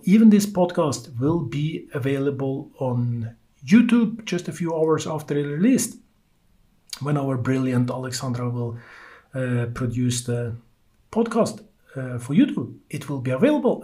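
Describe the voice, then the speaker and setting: A man from Norway with a Norwegian accent, speaking English as a second language while hosting a solo stock-market podcast in a halting way full of fillers. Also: mid-range voice, dark tone. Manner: halting, many uh fillers, loose non-native phrasing. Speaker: podcast host.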